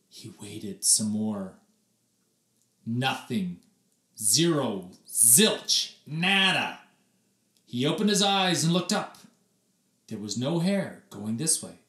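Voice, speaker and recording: A man narrates a children's storybook in a slow, highly animated style. He pauses deliberately and leans dramatically on key words. The room echoes and he sounds a little way from the mic. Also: recorded from a distance. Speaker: storybook reader. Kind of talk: expressive children's read-aloud narration.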